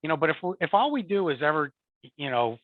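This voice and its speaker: A man's voice: on the high side, sing-song, fast, no echo. Man on a tinny dry call line. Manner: repeats words, many "you know" and "right?" tags. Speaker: guest, support and field services executive. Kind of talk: conversational interview.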